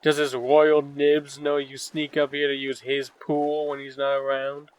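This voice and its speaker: A man's voice: mid-range voice, monotone, unhurried, lightly acted, rough recording. A man playing a cocky, irreverent young schemer in a comic dub, breezy and slangy with a mocking edge.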